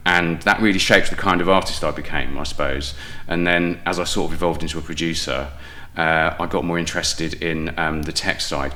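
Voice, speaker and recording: Deep, bright-voiced man explaining in an interview. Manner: articulate, discursive. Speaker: podcast guest, playwright and audio producer. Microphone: podcast microphone with headphones.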